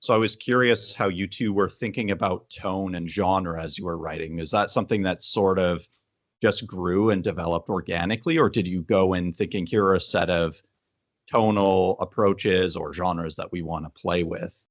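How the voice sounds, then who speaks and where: A man posing measured questions as an interviewer. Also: sits low, no echo. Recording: dull, band-limited audio.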